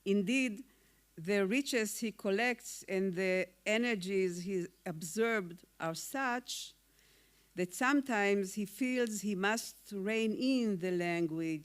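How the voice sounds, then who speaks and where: A woman whose first language is Hungarian delivers a formal acceptance speech as a poet. She reads slowly and deliberately, in a clear, smooth voice at an ordinary pitch for a woman. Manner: slow, measured, deliberate reading. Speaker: award-winning poet.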